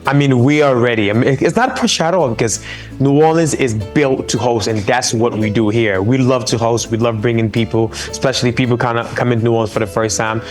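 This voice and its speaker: A man's voice: mid-range voice, fast, soft background music. Upbeat man chatting remotely. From Senegal. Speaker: chef guest. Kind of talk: remote interview.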